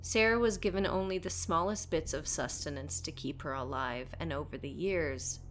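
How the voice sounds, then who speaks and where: A woman narrating a scripted horror story as a storyteller, dramatic and deliberate, dropping at times toward a whisper. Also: deep voice, slight background noise.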